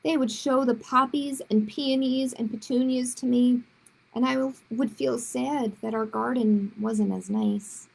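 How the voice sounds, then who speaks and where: Woman, children's read-aloud, expressive, higher-pitched, husky. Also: a little slow, rough recording. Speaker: children's storytime reader. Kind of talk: storybook read-aloud with short asides to young listeners.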